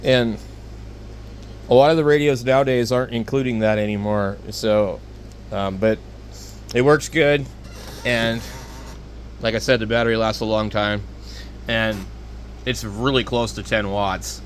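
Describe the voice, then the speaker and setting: A man giving casual, rambling, unscripted product talk as a video host, with a hesitant, halting delivery. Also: fairly low pitch, fairly even intonation, a little hiss or hum. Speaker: hobbyist video host reviewing handheld radios.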